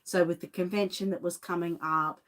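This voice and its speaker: A woman's voice: lowish voice, fairly even intonation, brisk, background noise. Aussie lady chatting on a live stream from her home studio, bit of a cough, says hi to everyone. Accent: Australian. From Australia. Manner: chatty, greets viewers by name. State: lingering cough. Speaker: reborn doll artist and shop owner. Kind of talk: casual livestream chat.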